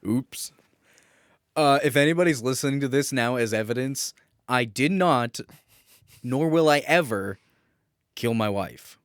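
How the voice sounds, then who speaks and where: A male podcast co-host chats casually with a friend. His mid-pitched voice sits in a dry, close recording, and his delivery is animated, teasing and loose with swearing. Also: unhurried, long pauses, no room sound. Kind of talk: casual banter between friends.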